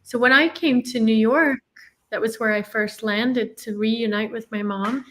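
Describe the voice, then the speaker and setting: A woman's voice: fairly high pitch, steady tone, measured pace, fairly bright tone. A woman from Ireland, telling her life story in an earnest, reflective way as an interview guest. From Northern Ireland.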